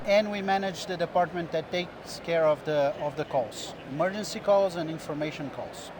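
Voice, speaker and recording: Brazilian man, middle-aged physician guest, slightly raised pitch, measured explainer, noisy trade floor behind. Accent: Brazilian Portuguese. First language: Portuguese. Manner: measured, explanatory, with small restarts. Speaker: diving medicine physician guest. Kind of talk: interview answers. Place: busy expo booth floor.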